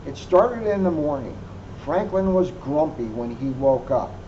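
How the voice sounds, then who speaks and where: A police officer reading a children's picture book aloud, adding wry asides. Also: male voice, a little high, expressive, measured pace, dark tone. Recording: muffled lo-fi recording with faint hum.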